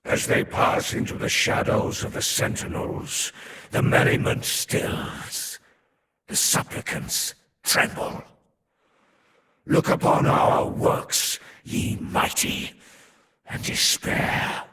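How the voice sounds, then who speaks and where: A man's voice: takes it slowly, bright, forceful tone. A man voicing an ancient, haughty serpent-man overlord in a video game, solemn and imperious, with a whispery, voiceless tone. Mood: ominous, proud. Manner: solemn, imperious, archaic phrasing. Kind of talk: scripted game lore narration. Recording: echoing, faint background noise.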